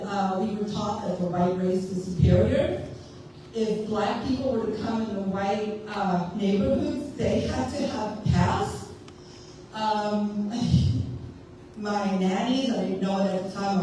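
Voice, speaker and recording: Loud, emotional woman in an echoey hall. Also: medium pitch. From South Africa. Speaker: school psychologist speaking as an audience member. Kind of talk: impassioned public comment. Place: large public hall. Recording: echoey hall, voice from far off, faint noise.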